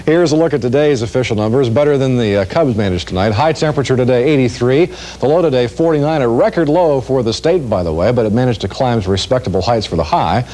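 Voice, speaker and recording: Fast-talking American TV weatherman. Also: mid-range voice, warm tone. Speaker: TV weather forecaster. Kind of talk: semi-improvised weather presentation, chatty. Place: television news studio.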